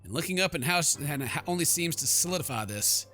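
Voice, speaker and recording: A man hosting a game playthrough, mixing jokey commentary with theatrical read-aloud narration in an animated manner. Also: fairly high pitch, fast talker, soft background music. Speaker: gaming video host.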